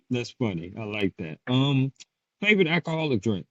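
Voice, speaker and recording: A man's voice: medium pitch, huge pitch swings, moderate pace. A man hosting a casual podcast interview, firing off playful questions with some slang. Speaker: podcast host. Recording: dull lo-fi sound.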